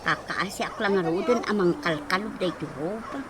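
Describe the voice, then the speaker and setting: A woman's voice: fairly low pitch, normal speed, lightly acted. An actress playing an easygoing older sister figure who hums and shrugs off her debts. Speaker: elder sister figure called manang. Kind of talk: radio drama acting. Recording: audible background noise.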